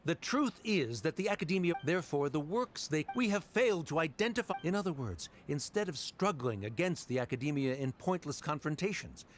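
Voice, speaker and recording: An actor playing a haughty, condescending academy scholar who lectures pompously in a video game. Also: male voice, fairly high pitch, expressive, a little fast, few pauses, lightly acted.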